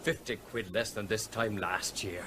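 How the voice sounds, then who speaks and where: A working-class seafront trader in a radio play. He is blunt and suspicious, with a forceful, bright voice over faint background noise. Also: fairly low pitch, steady tone, measured pace. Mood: needling, suspicious. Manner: blunt, needling, slangy. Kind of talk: radio drama acting.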